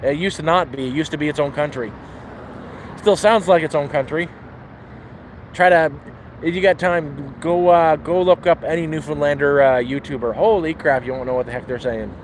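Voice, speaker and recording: A man's voice: a little high, moderate pace. A man with a Toronto accent, chatting in a rambling way while he walks through the city. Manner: rambling, chatty, talks while walking outdoors. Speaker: vlogger. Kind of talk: casual walking vlog talk. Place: city street outdoors. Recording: faint street and traffic noise.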